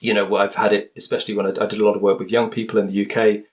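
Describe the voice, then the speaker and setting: A man's voice: fairly low pitch, flat delivery, rapid-fire. A man speaking as a guest linguist in an interview, thoughtful and hesitant, often restarting his sentences and searching for words. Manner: hesitant, many restarts and fillers.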